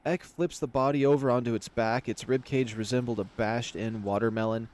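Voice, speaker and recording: Casting: male let's player, mid-pitched, reads fantasy story text aloud and comments casually. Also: steady tone, fairly quick, a little mellow. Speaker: video game let's player. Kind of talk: reading visual novel narration aloud with casual commentary.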